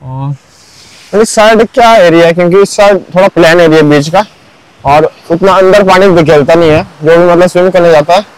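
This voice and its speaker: A man's voice: sits high, moderate pace, clear, resonant tone, low-quality recording. A young man narrating a casual walking tour of a beach at sunrise, chatty, with lots of filler words. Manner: chatty, keeps saying "bhai" and "matlab". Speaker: vlogger.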